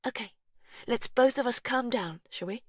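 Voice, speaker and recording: A woman's voice: on the high side, normal speed, husky, dry recording, studio quality, pitched above the performer's own voice. A woman voicing a blunt young woman giving urgent, clipped orders. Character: tense young woman, blunt and trying to stay calm.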